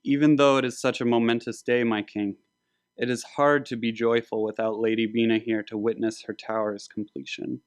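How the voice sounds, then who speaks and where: A man voicing an earnest, grieving young architect in a biblical story, speaking haltingly. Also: mid-range voice, level tone, clear, resonant tone, no room sound, clean studio sound, lightly acted. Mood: subdued, sad.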